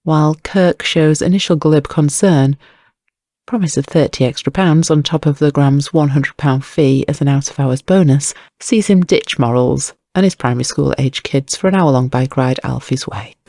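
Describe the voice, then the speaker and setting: A man's voice: a little high, normal speed, warm tone, no echo, clean studio sound. A man narrating scripted crime fiction as an audiobook, with wry, literary storytelling.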